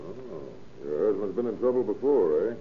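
Actor as brisk police inspector, tinny old radio. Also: male voice, lowish voice, expressive, a little hiss or hum, natural voice. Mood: businesslike, probing. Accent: American. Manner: clipped, matter-of-fact questioning. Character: brisk, shrewd male police inspector questioning people. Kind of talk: old-time radio crime drama acting.

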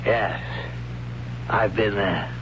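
A hushed, halting young wanderer speaks slowly and haltingly in a deep voice. The old radio broadcast sounds dull and lo-fi, with a faint hiss. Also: male voice, fairly even intonation. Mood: awed. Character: awed young man who visited a haunted ruin. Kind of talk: 1970s radio drama acting.

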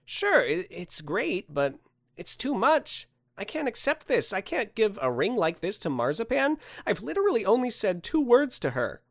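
A man's voice: high-pitched, wildly swooping pitch, normal speed, creaky, no room sound, clean studio sound, pitched above the performer's own voice, exaggerated voice. A man voicing a fussy, anxious office worker in a story, whiny, indignant and flustered.

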